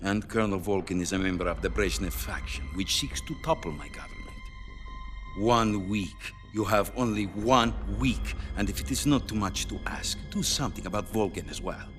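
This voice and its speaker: Guy acting as shrewd soviet premier, low voice, formal n measured, video game cutscene, music under him. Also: lightly acted. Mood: guarded warning. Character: shrewd, guarded Soviet premier issuing veiled ultimatums.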